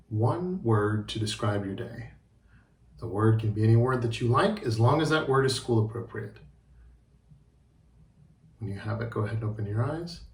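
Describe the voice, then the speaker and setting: An encouraging, expressive man teaching a children's drama lesson and narrating a storybook. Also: lowish voice.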